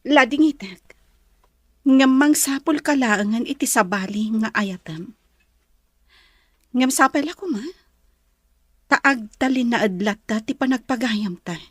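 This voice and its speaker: Woman as a gentle, high-voiced troubled heiress. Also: a little slow, pauses often, no echo, clean studio sound. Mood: regretful. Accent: Filipino. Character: gentle, troubled young heiress, apologetic but firm. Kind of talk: Ilocano drama acting.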